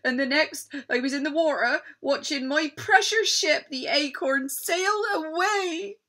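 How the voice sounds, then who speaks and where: A woman's voice: high voice, unhurried, sharp tone, voice pushed up, heavily acted. A woman voicing a blustering, weepy male pirate captain with hearty seafaring talk in a children's storybook. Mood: sobbing, distraught.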